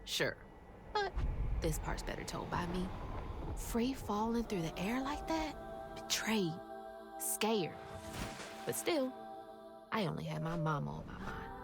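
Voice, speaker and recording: A woman's voice: mid-range voice, big pitch swings, a little slow, creaky, pauses often. A woman acting as a determined, emotional young woman searching for her mother in a video game cutscene. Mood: reflective, wistful. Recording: game music behind the voice.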